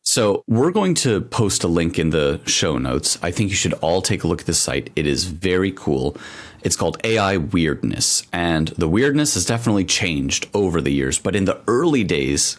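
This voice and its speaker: A man co-hosting a podcast, chatting casually and telling rambling stories full of asides. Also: low voice. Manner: rambling, animated storytelling with frequent asides. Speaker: podcast co-host.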